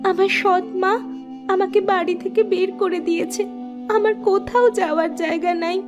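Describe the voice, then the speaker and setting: Brief: a sad cartoon girl, soft and pleading, very high. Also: steady tone, normal speed, music in the background. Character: young girl, gentle stepdaughter, tearful. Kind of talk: cartoon voice acting.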